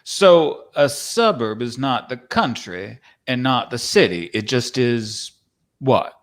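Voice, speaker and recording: Casting: old-time cowboy male actor, medium pitch, rustic, boastful, teasing, live theatre reading. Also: lively intonation, slow. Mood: folksy, curious. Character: folksy, proud old-time cowboy living in a painting. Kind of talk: dramatic script reading.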